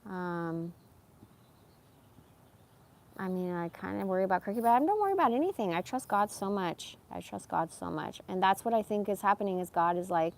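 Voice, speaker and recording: A woman in her forties with a medium-pitched voice, talking off the cuff. She is candid and emphatic, drifting through a casual personal monologue full of slang. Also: animated, moderate pace, creaky. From born in Iran, moved to America as a young child. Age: 40s. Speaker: live streamer.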